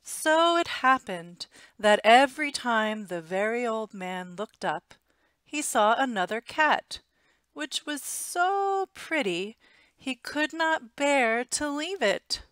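An American woman in her thirties reading a children's picture book aloud in an expressive, deliberate way, on a headset mic in a small room at home. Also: fairly high pitch, smooth, no room sound, studio quality. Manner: expressive, deliberate, simple storytelling for young children. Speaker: storytime reader.

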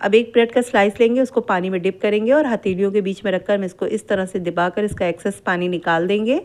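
A woman's voice: medium pitch, steady tone, quick pace. Patient woman narrating a home recipe. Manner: patient, repetitive, instructive. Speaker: cooking channel host. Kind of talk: step-by-step recipe voice-over. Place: home kitchen.

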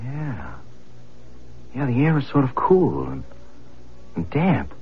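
A man's voice: medium pitch, huge pitch swings, takes it slowly, slightly rough, exaggerated voice. An actor playing an easygoing, curious young husband and father in a radio drama, with a casual, musing manner. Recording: dull lo-fi radio broadcast, faint noise.

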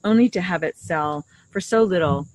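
A middle-aged woman co-hosting a casual podcast, explaining things haltingly and rephrasing as she goes, speaking outdoors into a shared desktop microphone. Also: fairly low pitch, darkish tone, rough recording. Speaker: podcast co-host. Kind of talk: casual conversational podcast talk. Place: outdoors in a leafy garden. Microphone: shared desktop condenser microphone.

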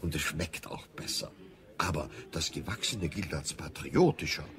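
An actor playing a chatty, matter-of-fact waiter in a radio drama. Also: male voice, rumbling low, normal speed. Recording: busy crowd murmur behind.

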